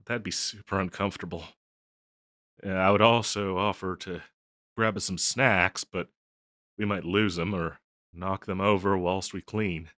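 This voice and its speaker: Low-voiced, flustered harpy boyfriend, acted by a man. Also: fairly even intonation, a little slow, a bit bright, dry recording, studio quality. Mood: hesitant, giving in. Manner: hesitant, stammering, apologetic. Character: flustered, self-conscious young male harpy boyfriend, tender and apologetic. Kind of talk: scripted audio roleplay, intimate one-sided dialogue.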